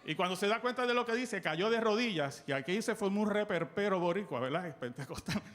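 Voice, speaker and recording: A man with a Puerto Rican accent preaching a sermon in church, asking the congregation questions and swinging between loud bursts and soft quoted lines. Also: high voice, fairly quick. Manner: animated, call-and-response questions to the congregation. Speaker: guest preacher. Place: church sanctuary.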